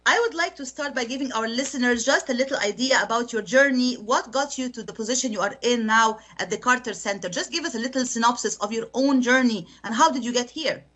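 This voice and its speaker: A woman radio host with a fairly high voice speaks in a polished, formal way. She reads a prepared introduction and then puts careful questions to her guest in a studio. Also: brisk, few pauses, fairly bright tone. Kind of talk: formal radio interview hosting.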